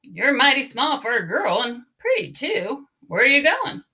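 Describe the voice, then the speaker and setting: A woman's voice: sits high, very animated, normal speed, bright, forceful tone, heavily acted. A woman voicing a sly big bad wolf who poses as a friendly, polite coyote in a read-aloud storybook. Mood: flattering. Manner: sweet-talking, falsely polite.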